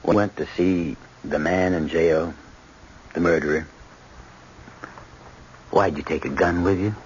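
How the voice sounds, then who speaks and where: An actor playing a grave, gentle middle-aged father in a radio drama, speaking in a slow, measured way. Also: low voice, steady tone, slight background noise. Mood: grave, consoling. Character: grave, gentle father consoling his grown son. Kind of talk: 1970s radio drama acting. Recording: muffled vintage radio recording.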